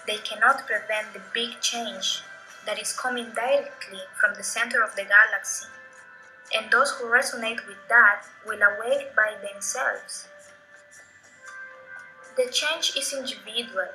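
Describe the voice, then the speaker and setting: A woman narrates a scripted text in a very bright, sharp voice with a solemn, declamatory delivery. Her pitch sits a bit high, and soft music plays under her. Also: low-quality recording. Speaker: video narrator.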